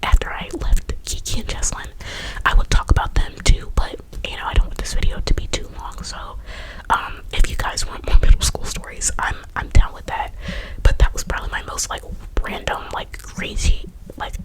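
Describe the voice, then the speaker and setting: A woman's voice: moderate pace, poor audio. A young adult woman whispering a casual personal story to her viewers, chatty and full of fillers. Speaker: vlogger.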